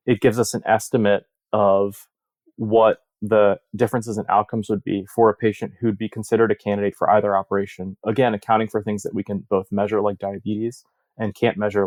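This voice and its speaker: Guy being interviewed, low flat voice, explains stuff step by step, very dry recording. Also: no room sound. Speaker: interview guest, surgical researcher.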